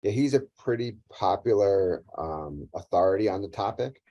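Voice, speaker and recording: A man's voice: on the low side, lively intonation, normal speed, warm tone, no room sound, muffled recording. A man teaching an interactive online class over a video call, prompting participants to share and ask questions. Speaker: instructor leading an online class.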